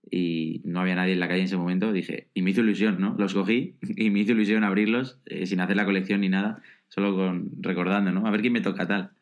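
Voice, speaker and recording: A man hosting an interview podcast, friendly and a bit hesitant, with many restarts as he sets up questions. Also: deep voice. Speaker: podcast host.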